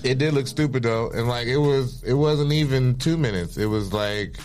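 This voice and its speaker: A man's voice: medium pitch, moderate pace, darkish tone, soft background music. A man co-hosting a casual podcast, chiming in with laid-back comments. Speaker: podcast co-host. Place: small studio.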